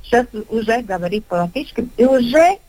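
A middle-aged woman, a kindergarten director, speaking on a radio discussion in a halting way, with many filler sounds. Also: a little high, lively intonation, mellow, low-quality recording. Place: radio studio.

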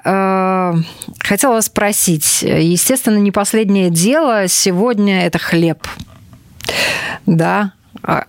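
Woman radio host chatting w guests, lowish voice, very dry studio sound, asks little check-in questions. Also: no echo. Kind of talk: conversational studio interview.

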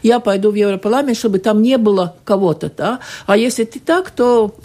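Woman on a radio panel, warm mellow voice, talks fast n keeps saying right?. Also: mid-range voice. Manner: animated, conversational, keeps adding "right?". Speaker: studio discussion guest.

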